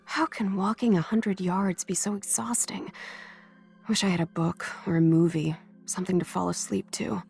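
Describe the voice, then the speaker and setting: A woman's voice: mid-range voice, fairly even intonation, normal speed, creaky, darkish tone, faint music behind, natural voice. A woman playing a stubborn, newly blind teen girl. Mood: wry. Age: teens. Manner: sarcastic, restless.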